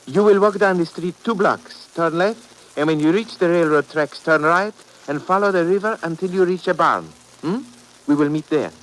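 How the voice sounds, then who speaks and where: An actor playing a wary, grave village shopkeeper and guide with a Central European accent and formal, deliberate phrasing, in old radio drama style. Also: male voice, on the high side, lightly acted. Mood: grave, cautioning. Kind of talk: 1950s radio adventure acting. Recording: noisy vintage radio recording.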